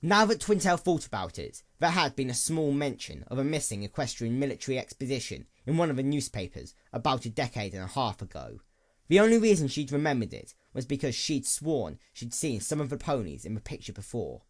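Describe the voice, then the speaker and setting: A man narrating a dramatic story in a solemn, deliberate and expressive manner. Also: medium pitch. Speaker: audiobook narrator of fan fiction.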